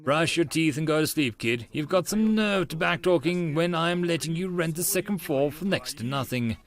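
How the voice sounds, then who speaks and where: A cranky middle-aged workshop boss played by a male narrator, curt and gruff, with folksy slang. He sounds brusque and impatient, like a no-nonsense landlord in an audio story. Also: a little high, lively intonation, normal speed.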